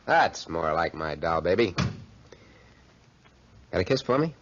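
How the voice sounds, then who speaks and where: An actor in a 1940s radio drama playing a brash, smooth-talking young schemer with slangy, cocky tough-guy talk. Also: sits low, big pitch swings, measured pace, a little hiss or hum. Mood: coaxing. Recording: muffled 1940s radio recording.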